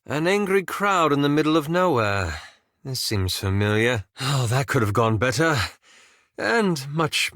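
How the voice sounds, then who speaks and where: An actor playing a reserved, fastidious wizard in a video game, with formal, dryly sarcastic and understated phrasing. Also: male voice, medium pitch, fairly bright tone, dry recording, studio quality. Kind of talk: scripted video game character dialogue.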